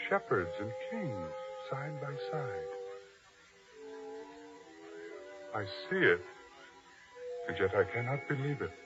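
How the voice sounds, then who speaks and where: A man's voice: mid-range voice, sing-song, a little slow, music in the background. A man playing a hard, ambitious, commanding soldier in a 1950s radio drama, curt and sardonic. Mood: hushed awe. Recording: dull, noisy vintage radio drama recording.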